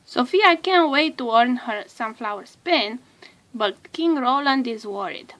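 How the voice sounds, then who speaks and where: Slow, higher-voiced woman reading a storybook. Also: animated, a bit bright. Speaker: storybook narrator. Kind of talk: read-aloud children's storybook narration.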